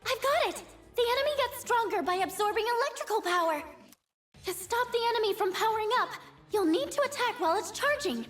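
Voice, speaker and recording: A woman's voice: extremely high pitch, expressive, a little fast, a bit bright, faint music behind. A woman voicing an earnest, caring teenage girl who guides a team through battles in a video game. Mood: urgent, focused. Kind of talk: English game voice acting.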